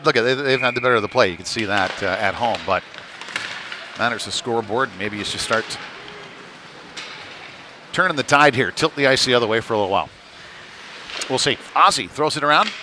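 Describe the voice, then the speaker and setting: Male hockey play-by-play caller, mid-pitched, informal and conversational, with clear venue noise behind him. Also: animated, fairly quick, pauses often. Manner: conversational, informal, tag questions. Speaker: ice hockey play-by-play commentator. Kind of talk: live sports commentary. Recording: clearly noisy venue ambience.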